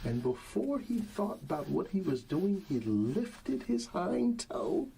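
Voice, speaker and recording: A man speaking softly and soothingly as a father at bedtime in an ASMR roleplay, often trailing toward a whisper, with a creaky voice. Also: high-pitched, sing-song, takes it slowly, background noise. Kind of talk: soft ASMR bedtime storybook reading, talking to a child.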